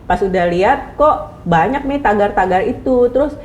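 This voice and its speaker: A woman's voice: mid-range voice, animated, moderate pace, a little mellow. Woman podcast host, chatty and easygoing, mid-range voice, roomy recording. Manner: chatty, laughs while thinking aloud. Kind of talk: casual podcast chat. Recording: echoey room with clear background noise.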